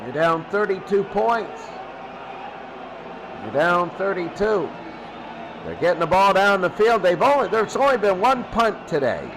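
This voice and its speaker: A man's voice: high voice, expressive, unhurried, background noise. A man calling American football play-by-play in short clipped phrases, repeating himself for emphasis. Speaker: play-by-play announcer.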